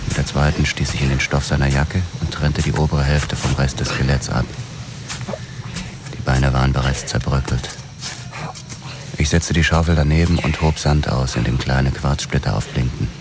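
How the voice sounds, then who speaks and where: Very deep-voiced grim detective narrator. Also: male voice, fairly even intonation, moderate pace, noisy background. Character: grim male police detective narrating his own story. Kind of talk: scripted first-person narration in radio drama.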